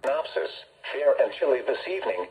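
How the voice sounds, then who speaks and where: A man's voice: medium pitch, big pitch swings, brisk, low-quality recording. A synthetic male announcer reading a formal scripted weather bulletin, heard through a radio speaker.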